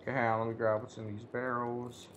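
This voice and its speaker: A man's voice: medium pitch, monotone, fast, fairly bright tone. Young man gaming, noisy recording. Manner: crude, profane, joking. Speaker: gamer in co-op let's play. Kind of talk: casual gaming chat with a friend.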